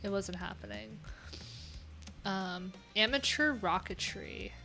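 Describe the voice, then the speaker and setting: A woman's voice: medium pitch, takes it slowly, gravelly, sharp tone. A woman hosting a casual livestream, reading out viewers' answers and adding loose, chatty asides with lots of fillers. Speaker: livestream host. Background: pop punk music playing.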